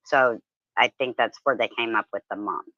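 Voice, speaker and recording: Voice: medium pitch, moderate pace, sharp tone, no room sound. A panel guest talking casually in a discussion, on slightly dull streamed audio.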